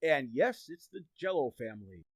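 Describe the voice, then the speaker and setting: A man's voice: mid-range voice, very animated, moderate pace. A man reading scripted introductions as an old-time radio show announcer. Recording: loud background noise.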